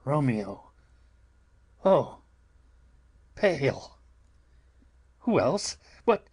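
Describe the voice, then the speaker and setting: Anxious, husky, very slow-spoken friar played by a man. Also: medium pitch, heavily acted. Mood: horrified lament. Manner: slow, halting, lamenting delivery. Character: anxious, grieving elderly male friar. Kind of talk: theatrical Shakespearean audiobook acting.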